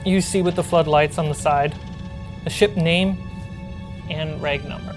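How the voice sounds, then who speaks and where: A woman's voice: deep voice, fairly even intonation, unhurried. A woman game master narrating a tabletop role-playing game in an improvised, suspenseful way. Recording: loud background music under the voice.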